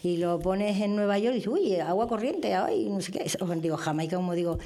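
A woman's voice: medium pitch, lively intonation, fairly quick, mellow. A woman from the Canary Islands with a mixed Canarian Spanish accent, talking at length and giving her opinions as a radio guest. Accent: Canarian Spanish mixed with peninsular. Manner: talkative, opinionated, explains at length. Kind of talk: casual radio chat.

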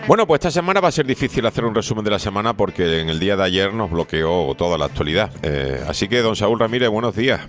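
A radio host whose voice sits very low and who talks at a fast clip. He keeps the talk friendly and conversational as he draws out his guests' views from the studio. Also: expressive, faint music behind. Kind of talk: radio talk show interviewing.

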